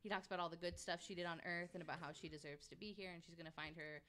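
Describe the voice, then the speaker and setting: A woman's voice: on the low side, fairly even intonation, rapid-fire, a bit bright. A woman chatting casually as a podcast panelist, playful and sweary. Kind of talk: casual chat.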